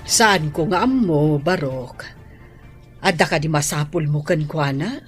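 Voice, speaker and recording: Anxious, deferential household maid. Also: female voice, low voice, lively intonation, a little slow, faint music behind. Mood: uneasy, evasive. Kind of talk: Ilocano radio drama acting.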